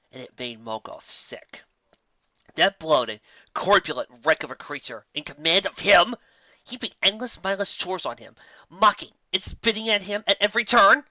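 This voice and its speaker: A man's voice: fairly high pitch, bright, forceful tone, no echo, muffled recording. A man reading a fantasy story aloud as a dramatic, theatrical and emphatic narrator. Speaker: narrator of fan fiction audiobook.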